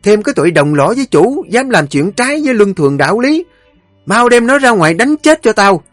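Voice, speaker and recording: A tyrannical old lady of the house barks orders in a high, piercing voice, as a male narrator puts her on. Her pitch leaps and swoops as she scolds. The sound is close and dry, over faint music. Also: big pitch swings, fairly quick, no room sound. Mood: furious. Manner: shrill commanding scolding. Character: stern harsh old mistress of household, woman.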